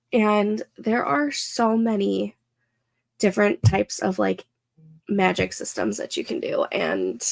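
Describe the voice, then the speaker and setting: A young woman with a stuffed-up, congested nose, chatting casually and slowly while hosting a live stream of writing sprints, with many fillers. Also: medium pitch, creaky. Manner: slow, rambling, lots of fillers.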